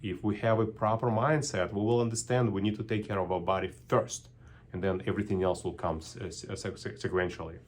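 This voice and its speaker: A man's voice: fairly low pitch, steady tone, fairly quick. A middle-aged man with a Russian accent, a neurologist talking to camera in a thoughtful, unscripted way with frequent ums and restarts.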